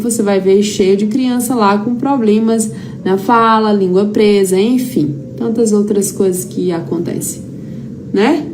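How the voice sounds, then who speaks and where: A woman with a Brazilian accent giving an informal, anecdote-filled lecture as a therapy teacher, repeating phrases for emphasis. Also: medium pitch. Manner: conversational, emphatic repetition, acts out quoted dialogue. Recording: clearly noisy background.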